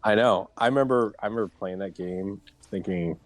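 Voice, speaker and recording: A man's voice: fairly low pitch, brisk, dry recording. A man talking informally as a panelist in a casual live-streamed group chat.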